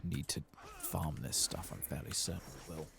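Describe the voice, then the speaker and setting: A man giving soft-spoken, partly whispered ASMR commentary on a game, murmuring and musing to himself close to the microphone. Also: rumbling low, fairly even intonation, moderate pace, creaky, background noise. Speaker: gaming channel host.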